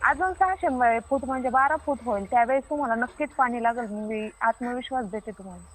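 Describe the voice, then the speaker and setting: A woman's voice: on the high side, quick pace, fairly bright tone. A woman playing a stubborn, self-assured water diviner with a rural Maharashtrian Marathi accent, arguing insistently and repeating herself in a comic phone-call skit. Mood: confident, insistent.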